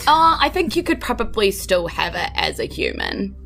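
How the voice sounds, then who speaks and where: A woman's voice: mid-range voice, very animated, normal speed, fairly bright tone. Casual woman game master, faint music behind. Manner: conversational, frequent "like". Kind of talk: casual improvised tabletop game narration.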